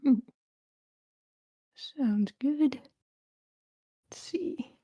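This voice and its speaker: A woman's voice: high voice, lively intonation, drawn-out words, dark tone, no room sound. A woman casually hosting an online event, chatty and informal, often thinking aloud. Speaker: host of an online script table read.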